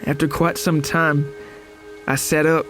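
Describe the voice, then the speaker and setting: A man's voice: fairly high pitch, flat delivery, unhurried, fairly bright tone. Man acting a haunted horror survivor over music. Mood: numb, haunted. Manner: grave, literary, dramatic delivery. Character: traumatized, bloodied male survivor of a lake monster attack. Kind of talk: first-person horror story narration. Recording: music behind the voice.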